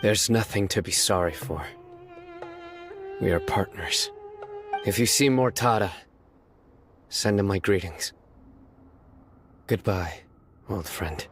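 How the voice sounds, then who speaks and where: An actor playing a stern young male judge in a video game, speaking in a measured, composed way. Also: sits low, over music. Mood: farewell. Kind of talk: video game cutscene voice acting.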